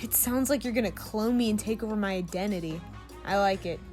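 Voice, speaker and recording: A woman's voice: medium pitch, a little fast, a little mellow, soft background music, lightly acted. A woman playing a brash, foul-mouthed young human space recruit with cocky, sarcastic delivery in a comedic audio drama. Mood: brazen.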